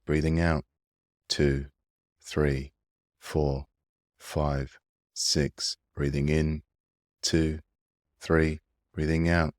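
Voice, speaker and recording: An extremely low-voiced man narrates a guided breathing meditation. He speaks slowly, with a soothing and gentle manner of instruction. The sound is dry and close to the microphone. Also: dry recording. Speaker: meditation guide and mindfulness coach.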